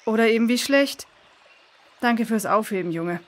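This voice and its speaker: Actress as a higher-voiced busy woman researcher. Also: animated, moderate pace, lightly acted. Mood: urgent. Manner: uses folksy idioms. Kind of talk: children's audio drama acting.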